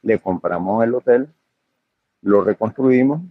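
An older hotel owner talks in a low, slightly husky voice, pausing and restarting as he answers. The sound is dry and dull, like a lo-fi recording. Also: dry recording. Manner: hesitant, full of fillers and restarts. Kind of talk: conversational interview answers.

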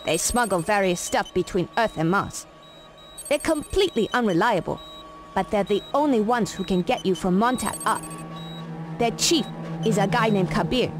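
A woman's voice: mid-range voice, lively intonation, normal speed, lightly acted. A woman playing a cool, composed underground surgeon in a video game, with a clipped, matter-of-fact delivery. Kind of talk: video game cutscene acting. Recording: music behind the dialogue.

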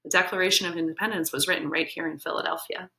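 A woman's voice: fairly low pitch, very fast, vocal fry. An American woman in her thirties reading a picture book aloud expressively, explaining as she goes. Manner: animated, explanatory. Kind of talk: expressive children's read-aloud with simple asides. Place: small book-lined room at home.

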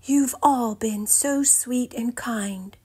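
A woman voicing a grouchy cow turned soft, speaking hesitantly and earnestly in a storybook. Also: fairly high pitch, slow, breathy edge, voice pushed up. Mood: touched, hesitant. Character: grouchy but softening female cow, hesitant and touched.

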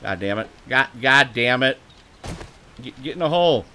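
A man's voice: mid-range voice, lively intonation, normal speed, pushed and bright, a little hiss or hum. An American man hosting a gaming livestream, chatty, laughing often and swearing when something surprises him. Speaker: livestream host. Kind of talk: casual gaming livestream chat.

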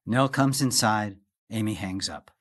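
A man's voice: fairly low pitch, unhurried. A man giving scripted narration, reading the stage directions of a play.

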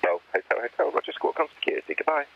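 A man's voice: mid-range voice, expressive, racing, gravelly, slightly rough. A man working as a flight information officer, speaking clipped radio phraseology over an aircraft radio. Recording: muffled aircraft radio transmission.

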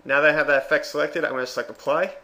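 A man presenting a software tutorial in a casual, step-by-step narration. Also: fairly high pitch, steady tone, racing.

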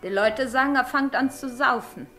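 A woman's voice: sits high, moderate pace, sharp tone. A woman playing a bossy, thrifty middle-aged carter's wife in a German radio drama, with earthy, scolding talk. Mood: matter-of-fact. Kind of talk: mid-century German radio drama acting. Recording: 1960s radio play with music behind.

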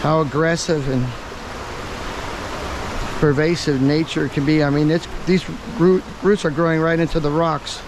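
A man's voice: a little high, fairly even intonation. A man from Florida filming a jungle hike, commenting casually and exclaiming at the views, sometimes switching to simple Spanish. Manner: exclaims often, easily impressed; breaks into simple Spanish with locals. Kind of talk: casual running commentary while hiking. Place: outdoors by a jungle waterfall and river. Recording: rushing water noise behind.

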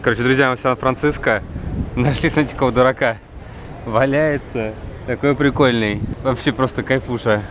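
A man's voice: mid-range voice, fairly even intonation, fairly quick. Joking young man vlogging outdoors. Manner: joking, loose slangy commentary. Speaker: travel vlogger. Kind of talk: casual travel vlog chat. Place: outdoors on a windy waterfront and pier. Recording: wind and boat noise outdoors.

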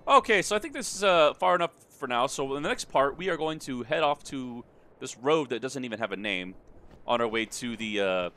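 A man's voice: mid-range voice, lively intonation, a little fast, pushed and bright. A man giving casual gameplay commentary, joking crudely and irreverently as he plays. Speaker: let's play commentator. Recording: game music behind voice. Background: video game music and dialogue.

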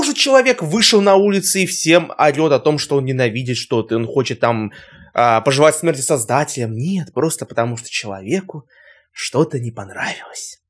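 A young man giving casual reaction commentary in an emphatic, exclamatory, informal way. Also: mid-range voice. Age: young adult. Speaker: reaction video host. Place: small furnished room at home.

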